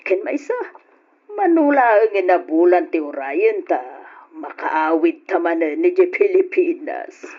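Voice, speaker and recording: A nosy caring auntie in old ilocano radio play, drawn out slow delivery, muffled recording. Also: female voice, lowish voice, big pitch swings. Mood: concerned, probing. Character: caring, inquisitive auntie confiding with young woman.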